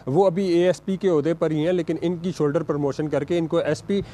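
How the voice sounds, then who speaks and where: A man with a Pakistani accent giving an unscripted news report, halting and hesitant, with frequent filler sounds. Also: a little high, steady tone, mellow, a little hiss or hum. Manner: halting, hesitant delivery with repeated filler words.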